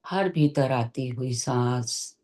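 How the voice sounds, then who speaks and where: A meditation teacher giving guided instructions gently and deliberately, in short phrases with long pauses. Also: medium pitch. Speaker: meditation teacher leading a session.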